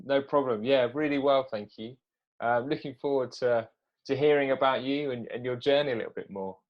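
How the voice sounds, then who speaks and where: A man's voice: mid-range voice, brisk, lo-fi sound. A man speaking as an interview host in a conversational style.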